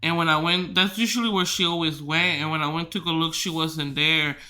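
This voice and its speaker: A man hosting a podcast who tells rambling stories loudly, swearing freely and filling his talk with "like". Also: on the high side, steady tone, a little fast. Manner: loud, swears freely, filler-heavy rambling. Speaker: podcast host. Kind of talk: casual storytelling chat.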